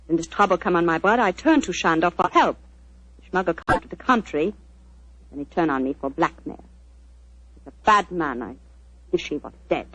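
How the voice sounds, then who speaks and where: An actress playing an anxious young woman pianist with a German accent, pleading in halting English in a 1940s radio drama. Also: on the low side, expressive, fairly bright tone, a little hiss or hum. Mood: anxious, bitter. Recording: muffled 1940s radio recording.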